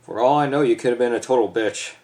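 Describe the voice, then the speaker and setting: A young man acting an awkward, self-conscious teenage boy, halting and correcting himself in a stage monologue. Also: medium pitch, darkish tone, natural voice. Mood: awkward, regretful. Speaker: stage actor. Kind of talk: theatrical letter monologue.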